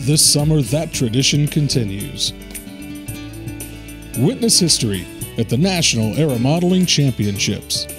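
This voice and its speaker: A man reads a dramatic trailer voice-over with slow, weighty solemnity. Music plays clearly underneath his voice. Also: mid-range voice, expressive. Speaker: promo narrator.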